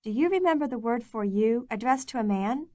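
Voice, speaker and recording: Woman narrator for a language lesson tape, slightly high voice, dry close sound, clear and measured. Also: animated, darkish tone, dry recording. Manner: clear, measured, prompting the learner. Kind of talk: scripted instructional narration.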